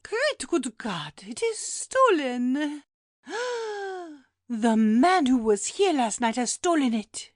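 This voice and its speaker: A woman's voice: sits high, very animated, no room sound, studio quality, exaggerated voice. A woman playing a fretful, exclamatory elderly housekeeper in a dramatised classic audiobook. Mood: horrified. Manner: exclamatory, gasping, drawn-out lamenting.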